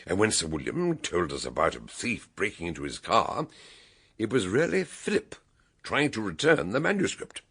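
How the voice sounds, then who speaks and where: An actor playing a shrewd, commanding older British gentleman detective in a radio drama, booming and declamatory. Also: very animated, normal speed, breathy edge, full character voice. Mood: explaining, excited.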